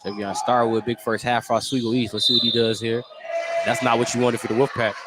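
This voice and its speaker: A man gives casual color commentary at a brisk pace, with clear gym noise around him. His phrasing is loose and informal, like chatting with a broadcast partner. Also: medium pitch, flat delivery. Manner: informal, joking, chuckles often. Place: indoor basketball game, courtside broadcast.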